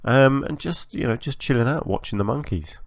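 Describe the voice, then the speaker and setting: A man giving casual, chatty commentary as host of a gaming channel, a little hoarse from a long recording session, hesitant and self-effacing. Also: medium pitch, a little fast, no room sound. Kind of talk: casual game build commentary.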